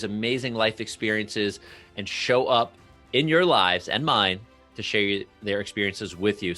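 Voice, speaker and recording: A man hosts an interview podcast. His voice sits on the low side but has a sharp, forceful brightness. Light music plays faintly behind him. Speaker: podcast host. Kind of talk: podcast intro and interview hosting.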